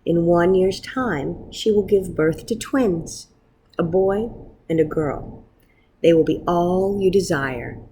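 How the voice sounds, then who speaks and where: A woman voicing a stern, wise male wonder rabbi in a storybook, speaking in a grave, admonishing, deliberate manner. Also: lowish voice, dark tone, a little hiss or hum, low-quality recording. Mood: solemn.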